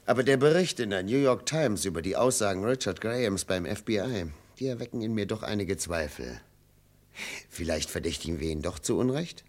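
Low-voiced, thoughtful police detective. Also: male voice, lively intonation, fairly quick, a little mellow. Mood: doubtful. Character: thoughtful, doubting male police detective sidekick. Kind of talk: vintage German radio crime drama acting.

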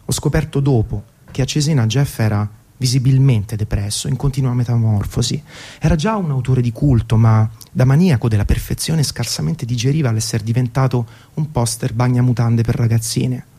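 Mellow-voiced man narrating on radio. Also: mid-range voice, normal speed. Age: 30s. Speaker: radio presenter. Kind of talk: scripted narration of a music documentary monologue. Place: radio broadcast.

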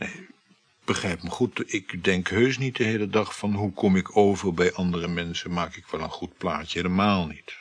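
Radio play male lover: self-regarding, wheedling, murmured delivery, deep breathy voice. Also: fairly even intonation, moderate pace, no room sound. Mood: piqued, insistent. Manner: murmuring, coaxing, often dropping to whispers. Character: vain married male lover, fishing for flattery. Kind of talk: intimate radio play dialogue.

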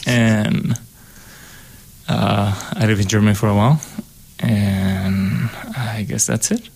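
A young man from Spain with a Spanish accent, a composer talking casually on a radio show in a studio. He pauses often with ums. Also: on the low side, steady tone, creaky, darkish tone, slightly rough, low-quality recording. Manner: hesitant, many ums. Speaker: guest composer, co-host.

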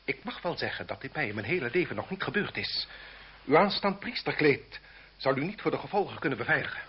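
An actor playing a cruel, haughty French marquis in a radio drama, speaking in a pompous, formal, condescending way. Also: male voice, mid-range voice, animated, quick pace, natural voice. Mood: coldly menacing. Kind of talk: Dutch radio drama acting. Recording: muffled old radio recording with background noise.

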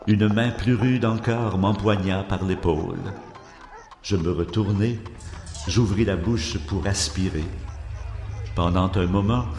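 A low-pitched male actor as a condemned murderer tells his confession like a gothic audiobook. He is haunted and feverish, with solemn, theatrical, very slow phrasing. The voice rings with long reverb. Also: darkish tone, slight background noise. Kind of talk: dramatic literary audiobook narration. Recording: echoey hall-like reverb.

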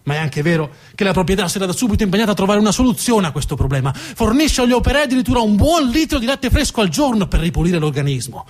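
A man delivering a dramatic narrative theatre monologue with emphatic, deliberate phrasing. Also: high-pitched, big pitch swings. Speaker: stage storyteller, actor.

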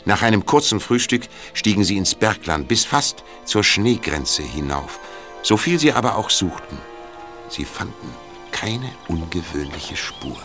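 A man playing the storyteller of a detective audio drama, narrating from a script in a measured, knowing way. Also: deep voice, expressive, pushed and bright, soft background music, exaggerated voice. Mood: measured, suspenseful.

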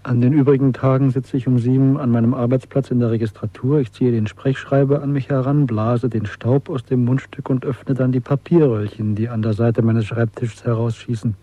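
Guy playing a bitter middle-aged secret rebel in a radio play, intense n deliberate, bit husky, dull dry sound. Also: mid-range voice, fairly even intonation, mellow, dry recording, lightly acted. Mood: resigned. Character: disillusioned, bitter man of nearly forty, secretly rebellious clerk. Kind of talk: German radio drama acting. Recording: dry, muffled old radio production.

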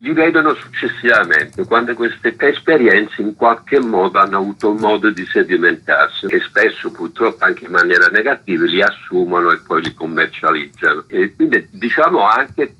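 A man's voice: low-pitched, animated, normal speed, hardly any pauses, bright, forceful tone, slightly rough. A man giving a discursive, explanatory radio interview as a guest, often ending phrases with a checking tag. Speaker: interview guest, representative of a self-managed arts centre. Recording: dull lo-fi radio interview audio.

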